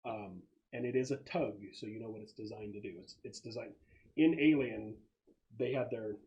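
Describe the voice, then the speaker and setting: A man acting as game master, narrating a tabletop roleplaying game in a halting, conversational way. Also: on the low side, a little mellow, muffled recording.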